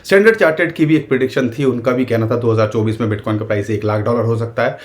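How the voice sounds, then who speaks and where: A man's voice: mid-range voice, racing. A man with an Indian accent explaining market charts to his viewers in casual, earnest talk, with a sore throat. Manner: earnest, emphatic, addresses viewers directly. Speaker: crypto market commentator.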